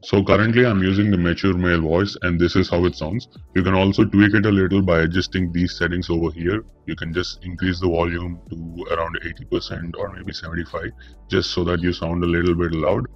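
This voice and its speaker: A man demonstrating software through an AI voice changer set to deep, mature male presets. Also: flat delivery, a little fast, faint music behind. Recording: dull lo-fi processed audio.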